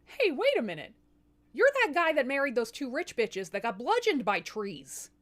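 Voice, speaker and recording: A woman's voice: sits high, very animated, moderate pace, a bit bright, pitched above the performer's own voice. A woman voicing a bold, shrewd young wife who speaks in a sassy, direct way in a comic folktale. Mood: probing. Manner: sassy, direct, modern slangy delivery.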